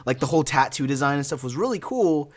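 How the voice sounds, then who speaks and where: A man's voice: on the high side, lively intonation, fast. A young American man in casual podcast discussion, giving his opinions as an emphatic fan. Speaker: podcast co-host. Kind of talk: casual panel chat about films and animation.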